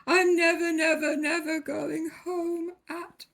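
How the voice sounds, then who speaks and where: A woman voicing a posh, snobbish male cat from a storybook, indignant and stubborn, with melodramatic drawn-out words. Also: extremely high pitch, level tone, gravelly, a bit bright, a little hiss or hum, pitched above the performer's own voice, full character voice. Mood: indignant wailing. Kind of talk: storybook character voice.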